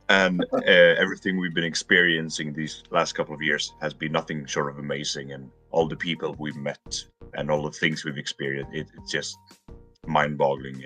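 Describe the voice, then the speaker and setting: A man with a bad cold, hoarse and clearing his throat, talking earnestly on a fan livestream. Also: rumbling low, a bit bright. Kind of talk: casual chat.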